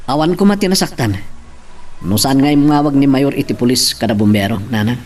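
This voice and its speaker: A man's voice: medium pitch, expressive, brisk, a little mellow, natural voice. A man playing an earnest, defiant young male protester in a radio-style drama. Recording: faint background noise.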